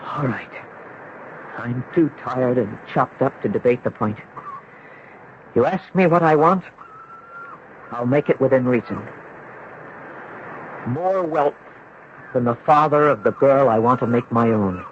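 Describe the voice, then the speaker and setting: An actor playing a wary young surfer in a 1970s radio drama, speaking and narrating in a dramatic, emphatic way. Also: male voice, mid-range voice, measured pace, long pauses, lightly acted. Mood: weary, wary. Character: bewildered young surfer man, wary and agitated. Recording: dull, noisy 1970s radio recording.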